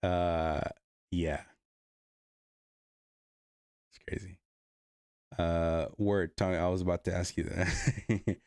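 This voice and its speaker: A man's voice: low-pitched, fairly even intonation, slow, pauses often, a little mellow, no room sound, clean studio sound. A man from the Bay Area, hosting a casual livestream, reading chat and rambling with many fillers and restarts. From Bay Area, California.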